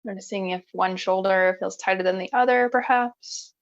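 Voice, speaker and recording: A woman yoga instructor guiding relaxation in a calm, soothing, unhurried way with long pauses, in a slightly dull recording. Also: mid-range voice.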